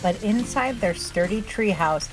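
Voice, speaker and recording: A woman's voice: mid-range voice, lively intonation, measured pace, low-quality recording. Woman reading a children's storybook over music. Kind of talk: expressive scripted read-aloud narration.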